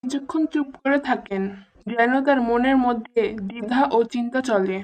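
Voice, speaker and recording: High-pitched female story narrator. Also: normal speed, darkish tone, rough recording. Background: music.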